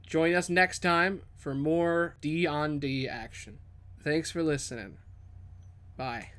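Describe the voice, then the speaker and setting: A man's voice: fairly high pitch, slow pace, a bit bright. Man casually hosting a podcast. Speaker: podcast host. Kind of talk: casual chat to listeners.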